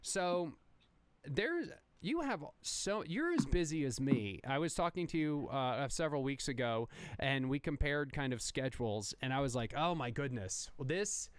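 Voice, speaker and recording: A man hosting a casual podcast interview as a chef, talking in an animated, rambling way with many restarts. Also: medium pitch, normal speed, clear, resonant tone, no echo.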